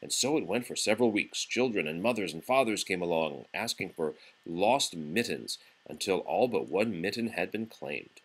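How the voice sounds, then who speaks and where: A man reads a children's book aloud in a low, husky voice. His delivery is very animated and expressive, yet patient and encouraging, as if for a small child beside him. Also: moderate pace, few pauses. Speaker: parent reading a picture book aloud to a young child. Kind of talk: storybook read-aloud.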